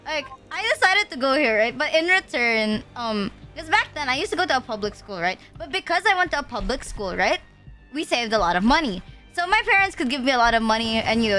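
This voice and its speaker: Young woman streamer, high bright voice, rambling casual chat, faint music underneath. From Philippines. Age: young adult. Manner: rambling, filler-heavy, slangy.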